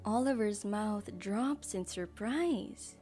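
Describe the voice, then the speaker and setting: A woman's voice: medium pitch, lively intonation, slow pace, dark tone, faint music behind. A woman giving scripted narration for an audio drama as its storyteller.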